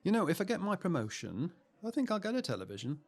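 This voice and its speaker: An actor playing a talkative young 1950s husband in a naturalistic audio drama, dry and exasperated in manner. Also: male voice, sits high, huge pitch swings, heavily acted. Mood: dry, chatty. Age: young adult. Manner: dry, exasperated, deliberate repetition for comic effect.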